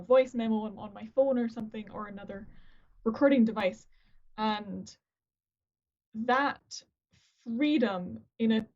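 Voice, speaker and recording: A woman, a guest who sings opera and teaches voice, chatting casually on a video call, often restarting her sentences and joking at her own expense. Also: a little high. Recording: dull lo-fi video-call audio.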